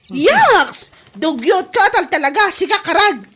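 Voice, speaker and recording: A woman acting a fussy village mother, easily disgusted and quick to scold. Her voice is extremely high, with an Ilocano Filipino accent, in comedy audio drama style. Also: sing-song, fairly bright tone, a little hiss or hum, exaggerated voice.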